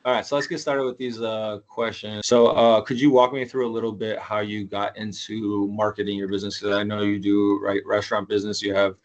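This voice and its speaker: A man in his thirties hosting a casual interview, talking in a relaxed, slangy way. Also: on the low side, a little fast, hardly any pauses, dull audio. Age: 30s. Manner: laid-back, slangy, calls guest bro and dude. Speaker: podcast host.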